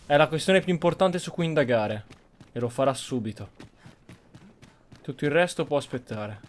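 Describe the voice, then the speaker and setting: A man's voice: fairly high pitch, lively intonation, a little fast, slight background noise. An Italian man giving casual roleplay commentary over a video game he is playing. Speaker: gameplay streamer. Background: game music.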